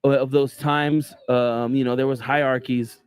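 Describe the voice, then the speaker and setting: A man's voice: mid-range voice, level tone, moderate pace. A man hosting a podcast in a casual interview chat, hesitant and full of fillers, swearing casually. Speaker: podcast host.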